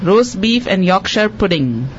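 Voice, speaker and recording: A woman hosting a quiz show for children, repeating each question slowly and cheering the contestants on. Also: medium pitch, sing-song, highs cut off, a little hiss or hum. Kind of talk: hosting a radio quiz for kids.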